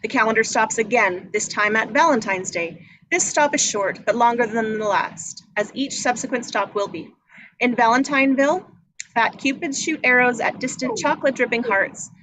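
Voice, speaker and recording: A woman's voice: fairly high pitch, moderate pace, a bit bright, rough recording. A woman reading screenplay action descriptions aloud as scripted narration at a table read. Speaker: stage directions reader. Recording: noticeable background noise.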